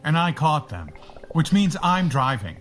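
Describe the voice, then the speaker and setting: A suave male sidekick from a pulp radio drama. He is jaunty, wry and teasing, and soft music sits behind him. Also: a little high, big pitch swings, unhurried.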